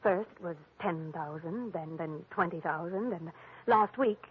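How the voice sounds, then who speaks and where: A woman's voice: lowish voice, measured pace, slight background noise, close to an everyday voice. An actress playing a coy, anxious young wife of a rich man, hesitant and refined, in a 1940s-style radio drama. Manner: hesitant, breathy, faltering, refined. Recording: very muffled, phone-like old radio recording.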